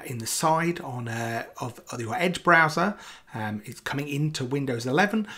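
Creaky-voiced man presenting a tech demo. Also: a little high, a bit bright. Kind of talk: casual software demo narration.

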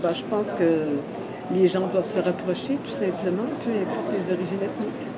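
A woman's voice: medium pitch, fairly even intonation, a little fast, heavy background noise. A middle-aged woman answering spontaneously in an interview as a film journalist. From Italian descent, living in Montreal. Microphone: handheld interview microphone. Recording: loud crowd chatter, handheld reporter mic. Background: busy festival crowd.

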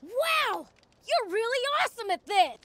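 A woman's voice: extremely high pitch, very animated, a little slow, bright, forceful tone, dry recording, exaggerated voice. A woman playing a brash, impish young demon prince in a video game. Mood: excited.

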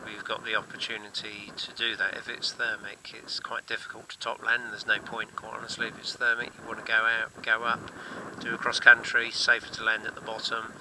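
A man's voice: lowish voice, completely flat delivery, fairly quick, noisy background. A man teaching paragliding, commentating from a hilltop and in the air while coaching a student. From England. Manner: coaching over radio, calling out instructions. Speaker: paragliding instructor. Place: outdoors on a hilltop and in flight.

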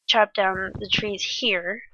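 A woman's voice: mid-range voice, steady tone, slow pace, muffled recording, background noise. A woman with a stuffy, congested nose, rambling casually with lots of ums while commenting on her gameplay. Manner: rambling, many ums and restarts, chatty. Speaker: Minecraft let's play creator.

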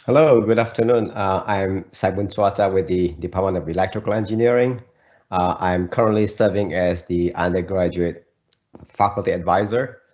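Middle-aged male professor, very low voice, halting, muffled call audio. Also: steady tone, darkish tone. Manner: hesitant, many fillers. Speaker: engineering faculty advisor. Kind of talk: panel self-introduction. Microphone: video call. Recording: dull lo-fi video-call audio.

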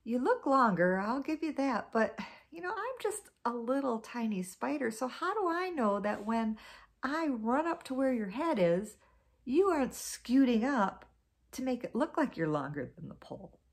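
A woman's voice: fairly high pitch, very animated, moderate pace, pitched above the performer's own voice. A woman voicing a small, sly male spider trickster who talks in a coaxing, wheedling way.

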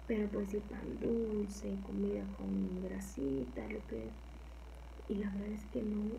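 A young Mexican woman with a Mexican accent chatting casually for her ASMR channel, rambling softly and often slipping into a whisper in a small home kitchen. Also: medium pitch, creaky, highs cut off, a little hiss or hum. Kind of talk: casual soft-spoken chat.

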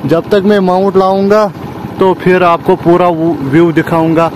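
A young man talks casually to his vlog audience. He is chatty and often repeats phrases for emphasis. The phone recording, made at arm's length outdoors, has clear background noise. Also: high-pitched, level tone, normal speed. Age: 20s. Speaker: vlogger. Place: outdoors on village roads, paths and by water. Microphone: handheld phone at arm's length.

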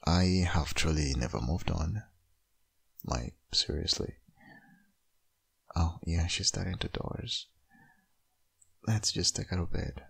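A man playing a tender, wistful young father still in love with his ex in a boyfriend ASMR roleplay, speaking hesitantly in an intimate, husky, gravelly murmur. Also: low-pitched, lively intonation, takes it slowly, long pauses, heavily acted. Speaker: audio roleplay voice actor.

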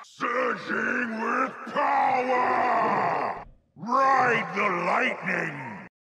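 An actor voicing a wild, frenzied orc shaman with a raspy voice, shouting video game battle cries. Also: very high-pitched, drawn-out words, very noisy, exaggerated voice. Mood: frenzied, exultant.

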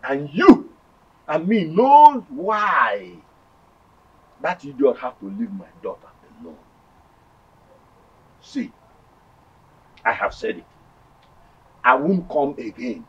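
A man's voice: on the high side, sing-song, takes it slowly, pauses often, breathy edge, muffled recording, full character voice. A man with a Nigerian Igbo accent playing an angry village father protective of his daughter, menacing and repetitive in a film drama. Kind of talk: Nigerian film drama acting, switching between English and Igbo.

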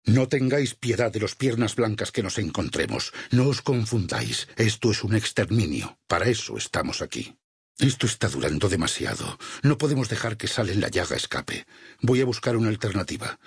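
An actor playing a stern, vengeful religious war leader in a Spanish video game dub, with a Castilian accent, a husky rasp and solemn, preacherly phrasing. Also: male voice, fairly low pitch, sing-song, normal speed, no echo, studio quality, exaggerated voice. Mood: grim, resolute. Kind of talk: dubbed video game voice acting.

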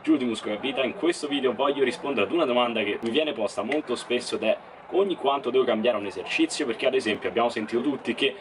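A young man in his twenties explaining training to camera in a small indoor room, quick and animated. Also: mid-range voice, recorded from a distance, background noise. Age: 20s. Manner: quick and animated, uses English gym terms. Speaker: fitness youtuber.

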